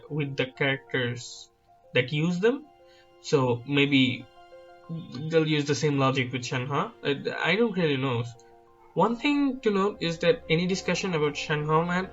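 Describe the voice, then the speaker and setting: A man's voice: on the high side, fairly bright tone. A man giving casual, self-deprecating speculation about a video game, hedging often with filler words. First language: not Chinese. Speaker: gaming YouTuber. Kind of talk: speculative game commentary over slides. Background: background music.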